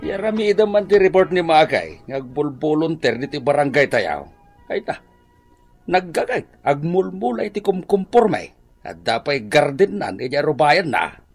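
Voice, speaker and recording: A man's voice: fairly high pitch, moderate pace, breathy edge, soft background music, exaggerated voice. A man with an Ilocano Filipino accent acting a cheerful, exclaiming village captain in a radio drama. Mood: approving. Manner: animated, exclaiming, chuckling. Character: cheerful male village captain praising helpful residents.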